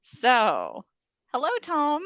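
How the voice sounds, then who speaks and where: Animated woman host with slow, chatty delivery. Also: high-pitched, no echo. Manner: chatty, informal, scattered. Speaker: host of live reading show. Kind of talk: casual chat.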